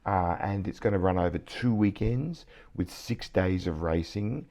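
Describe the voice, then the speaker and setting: A middle-aged man with a croaky voice, hosting a vlog and talking through industry news quietly at night, with bursts of excitement over the numbers. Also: low-pitched, a little slow. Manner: keeps voice hushed and quiet; enthusiastic, excitable about numbers. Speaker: CEO and vlog host. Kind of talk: casual news commentary vlog. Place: indoors at night.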